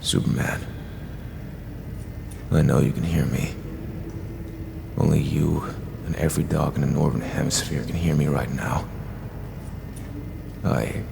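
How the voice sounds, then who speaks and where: A man playing a brooding, remorseful superhero vigilante in an audio drama, speaking in a halting, gravelly voice. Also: very deep voice, steady tone, noisy background, full character voice. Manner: slow, halting delivery. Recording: music under the voice.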